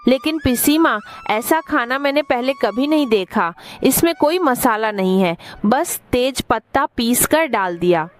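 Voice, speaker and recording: A woman's voice: fairly high pitch, animated, measured pace, few pauses. A woman playing a respectful young daughter-in-law, a homemaker eager to learn, in a cartoon story. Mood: surprised.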